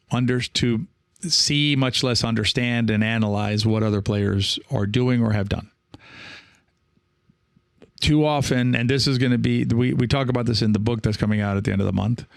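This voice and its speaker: A man hosting a podcast solo, explaining things conversationally like a coach, in a measured, careful way with pauses. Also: medium pitch, creaky, no room sound, studio quality. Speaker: podcast host and pickleball coach.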